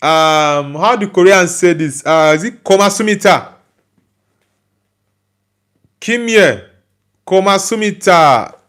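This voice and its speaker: A man's voice: high-pitched, slow pace, long pauses, a bit bright. Nigerian man hosting a casual football stream. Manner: loose, hesitant talk with many fillers and restarts. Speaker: football channel host reading live chat. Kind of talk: casual live-stream chat.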